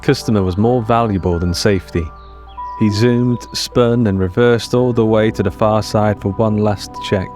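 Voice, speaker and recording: A man narrates a fiction story in a fairly low voice. He is measured and deliberate, setting out short dramatic phrases over a music bed. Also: steady tone, darkish tone.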